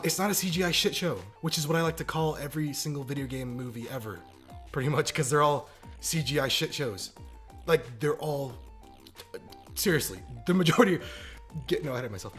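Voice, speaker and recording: A man's voice: on the high side, fairly bright tone. A man giving a casual, opinionated video review in an informal, emphatic, jokey and sweary way. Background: background music.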